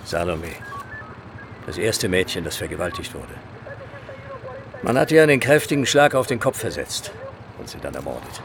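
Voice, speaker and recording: Grim gravelly police boss, low, swings a lot, loud street noise, radio play. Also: male voice, very animated, normal speed, pauses often. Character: grim male police commissioner at a crime scene. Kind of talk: radio crime drama acting.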